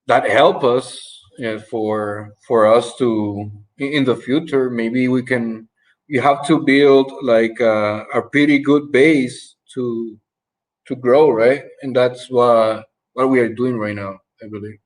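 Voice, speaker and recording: Young male panelist, slow and halting, searching for words. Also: mid-range voice, steady tone, rough recording. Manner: hesitant, many restarts and "uh"s. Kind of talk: casual panel discussion.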